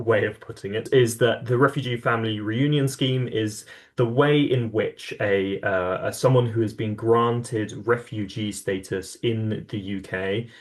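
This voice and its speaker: A man, an advocacy coordinator, explaining policy in conversation, with many fillers and restarts. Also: lowish voice.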